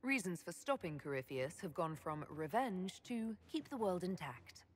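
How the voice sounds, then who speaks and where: An actress playing a composed, decisive fantasy leader in a video game, direct and dryly witty. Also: female voice, lowish voice, big pitch swings, normal speed. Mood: resolute, wry. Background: faint music.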